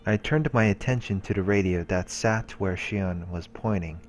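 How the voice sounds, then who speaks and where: A man hosting a let's play, reading a visual novel's narration aloud in a calm, measured way. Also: low voice, a little hiss or hum. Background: game music and voices.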